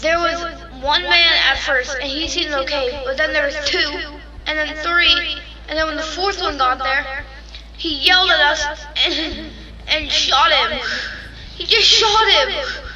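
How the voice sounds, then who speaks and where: A woman playing a frightened, guilt-ridden young boy in an audio drama, halting and tearfully pleading. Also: high-pitched, distant mic, muffled recording, crowd noise. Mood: distraught, pleading.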